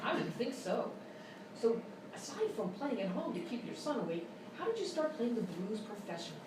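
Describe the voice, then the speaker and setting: Woman playing an earnest, echoey radio host. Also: on the high side. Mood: polite, puzzled. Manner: measured, formal, deadpan interviewer. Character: polite, earnest female public-radio talk show host. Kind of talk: parody radio interview. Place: live show in a hotel room. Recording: echoey live stage, distant mic, noisy.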